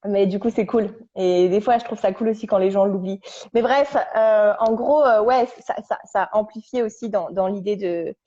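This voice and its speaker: A young woman, a podcast guest, casually telling her story at home on a couch, halting and restarting as she searches for her words. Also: mid-range voice, dark tone. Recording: dull lo-fi recording.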